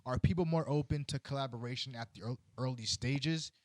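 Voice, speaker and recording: A man's voice: medium pitch, a little fast, darkish tone, slight background noise. A man hosting a podcast, asking long, loose questions in a casual chat, often checking in with "right?" and "man". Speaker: podcast host.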